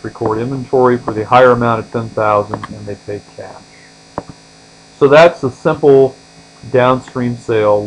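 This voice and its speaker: Male tutorial instructor, mid-pitched voice, step-by-step explanation that trails off at phrase ends, faint mains hum underneath. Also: rough recording. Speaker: accounting instructor. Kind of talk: explanatory tutorial lecture, walking through on-screen examples.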